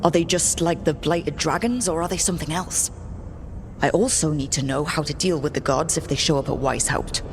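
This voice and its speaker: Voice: mid-range voice, moderate pace, a little hiss or hum, lightly acted. An actor playing a determined young leader in a fantasy game, speaking directly and earnestly. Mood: firm.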